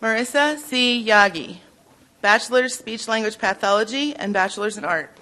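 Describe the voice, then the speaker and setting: A woman announcer reading out graduates' names and degrees in a measured, formal way. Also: on the high side, lively intonation, noisy background. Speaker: ceremony announcer.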